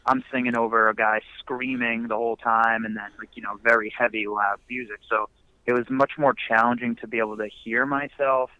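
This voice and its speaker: A man's voice: medium pitch, fairly even intonation, fairly quick, dry recording. Laid-back young man on a muffled phone line. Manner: laid-back, rambling, many fillers. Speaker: musician guest. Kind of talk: casual phone interview.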